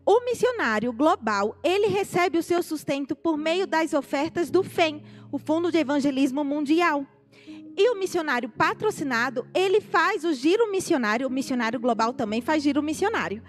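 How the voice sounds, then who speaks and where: High-voiced Northeastern Brazilian woman preaching. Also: normal speed. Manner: animated, addresses the congregation directly. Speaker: missionary speaker. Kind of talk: testimony sermon. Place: church sanctuary. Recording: live church recording, faint music.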